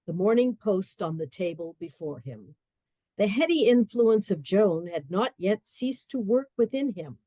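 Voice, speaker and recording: A woman narrating a radio play in a measured, literary storytelling manner. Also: mid-range voice, mellow, dry recording.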